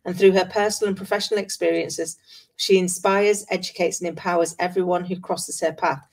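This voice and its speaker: A woman's voice: on the low side, fairly even intonation, brisk, poor audio. A woman hosting an interview show, effusive and encouraging as she introduces and chats with her guest. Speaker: podcast host interviewing a guest. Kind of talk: warm introduction and conversational interview.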